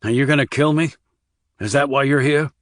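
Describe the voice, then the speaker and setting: A man's voice: mid-range voice, steady tone, brisk, fairly bright tone, dry recording, studio quality, voice pushed up, natural voice. A man voicing a guilty, desperate father in an audiobook thriller, distraught, pleading and defensive. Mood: fearful.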